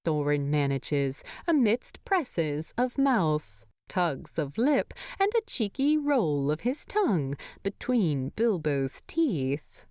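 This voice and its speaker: A woman narrating a story in an expressive, tender, unhurried way. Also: low voice, smooth, no room sound, studio quality. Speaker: fan fiction narrator.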